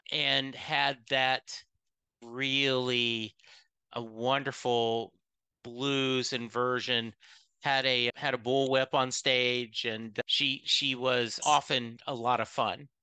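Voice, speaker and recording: A man hosts a podcast in a casual chat, his voice very bright and sharp. His phrasing rambles, with restarts and drawn-out words, and the sound is dry and close. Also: mid-range voice, little pitch movement, clear, resonant tone, no room sound. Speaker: podcast host.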